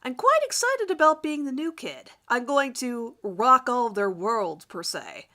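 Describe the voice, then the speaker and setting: Woman playing a smug teenage charmer, lively and bright. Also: a little high, measured pace. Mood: smug, confident. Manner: self-assured, formal wording. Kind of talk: dramatic reading of first-person fan fiction.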